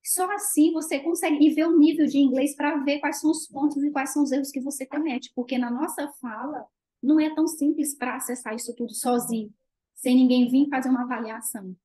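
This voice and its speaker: A middle-aged woman with a Brazilian accent, hosting a conversational video call in a measured, reflective way. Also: sits high, fairly even intonation, mellow. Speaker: host. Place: living room indoors. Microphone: video call, webcam at desk distance.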